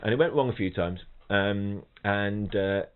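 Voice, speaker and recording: A middle-aged musician talks in a low voice, chatty and full of restarts. He sings rhythms and imitates the people in his stories. The sound is narrow and tinny, like a phone call. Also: unhurried, smooth. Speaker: guest, professional trombonist. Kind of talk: casual podcast chat, anecdotes. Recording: thin phone-like audio.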